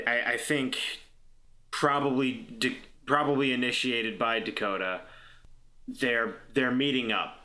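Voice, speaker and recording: A man playing on an actual play podcast, improvising scenes haltingly and repeating words as he thinks. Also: medium pitch, pushed and bright. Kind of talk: improvised tabletop roleplay narration.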